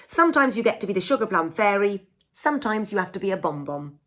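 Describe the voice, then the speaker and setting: A woman voicing a kindly, encouraging father in an expressive picture-book read-aloud. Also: medium pitch, fast. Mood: consoling. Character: kindly, encouraging dad of a young girl.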